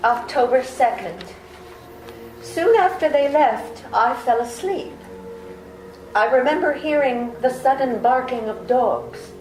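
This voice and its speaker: A woman voices a young wife reading her diary in hushed, slow suspense. The tone sits a little high, in a roomy hall with faint music behind. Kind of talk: live amateur radio play.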